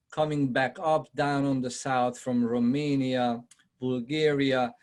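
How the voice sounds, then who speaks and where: A man from Hungary with a Hungarian accent, giving a conversational presentation on a video call, often pausing with fillers and asking his audience questions. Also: medium pitch, a little mellow. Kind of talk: online presentation to realtors.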